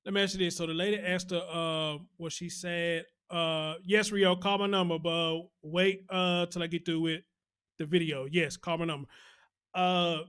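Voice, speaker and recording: A man with a slightly high-pitched voice hosts a casual call-in show. He comments in a sympathetic manner and murmurs acknowledgments to the person he is talking with. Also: steady tone, moderate pace, fairly bright tone, clear, resonant tone. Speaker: talk show host.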